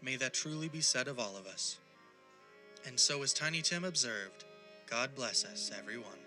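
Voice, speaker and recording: A man narrating a scripted audio drama in a theatrical storybook style with deliberate phrasing. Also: mid-range voice, expressive, pushed and bright, faint music behind.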